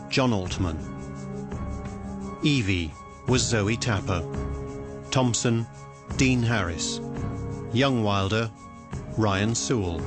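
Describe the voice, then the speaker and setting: A man with a fairly low voice makes a formal radio announcement. He reads the credits in a measured way while music plays underneath. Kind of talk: scripted announcement.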